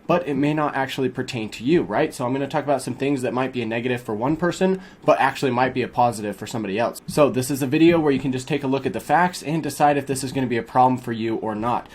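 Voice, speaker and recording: A young man in a small home studio, talking viewers through a map in an upbeat, casual way, a little sniffly from a cold. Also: mid-range voice. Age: young adult. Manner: upbeat, fast-talking, addresses viewers as guys. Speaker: real estate agent. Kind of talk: casual explainer vlog.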